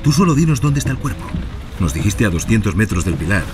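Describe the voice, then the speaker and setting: An actor playing a stern, commanding police detective with a Spanish accent in an audio drama. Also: male voice, on the low side, very animated, quick pace. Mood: stern, impatient. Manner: commanding, blunt, disgusted. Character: stern, gruff male police detective pressing a suspect. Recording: noisy outdoor scene with vehicle sounds.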